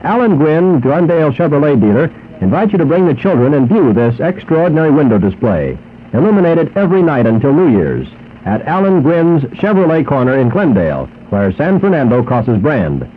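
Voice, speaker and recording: A man's voice: a little high, very animated, fairly quick, slightly rough. A man with an American accent reading a scripted 1940s radio advertisement. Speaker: radio announcer. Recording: muffled 1940s acetate radio broadcast recording.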